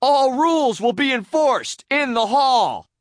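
A man voicing an eager, self-important cartoon sea sponge boy in grand, over-excited declarations for a children's storybook. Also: extremely high pitch, measured pace, no echo, clean studio sound, pitched above the performer's own voice, full character voice. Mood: eager, dutiful.

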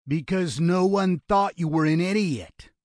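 A man's voice: high-pitched, measured pace, darkish tone, no echo, studio quality, pitched above the performer's own voice. A man voicing a violent, foul-mouthed criminal with an aggressive, sneering delivery for an audiobook thriller. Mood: contemptuous, angry.

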